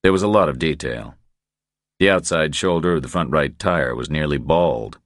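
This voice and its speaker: A man with an exceptionally low, deep voice narrates a thriller audiobook. His reading is measured and deliberate, and the recording is dry and close. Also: dry recording. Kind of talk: scripted narration of crime thriller.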